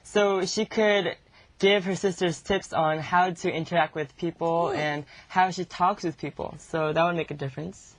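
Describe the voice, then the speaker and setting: Soft-spoken man with a small voice. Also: fairly high pitch, fairly even intonation, normal speed, rough recording. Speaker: co-host. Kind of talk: casual chat.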